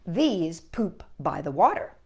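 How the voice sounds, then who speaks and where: A woman's voice: fairly low pitch, big pitch swings, slow, gravelly, darkish tone, slight background noise. A woman hosting a children's storytime, reading aloud and chatting playfully with young listeners, often asking them questions. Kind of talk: children's picture book read-aloud with chatty asides.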